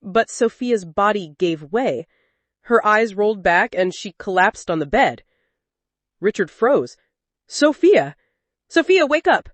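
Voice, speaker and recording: A woman storyteller reads scripted dramatic narration. Her delivery moves up and down expressively at a medium pitch, and the recording is dry and close. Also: lively intonation, a little slow, no room sound.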